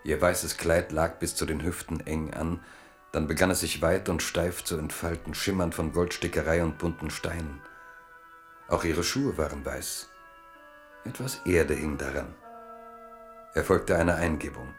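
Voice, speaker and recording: Guy narrating a literary radio play, really deep voice, soft music underneath. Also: fairly even intonation, a little slow.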